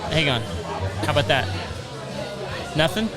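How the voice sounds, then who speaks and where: Unfazed producer man, fairly high voice. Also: animated, a little slow, loud music behind the voice, a loud crowd. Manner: unfazed, joking while fixing gear. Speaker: show producer. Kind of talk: casual studio talk. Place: radio studio.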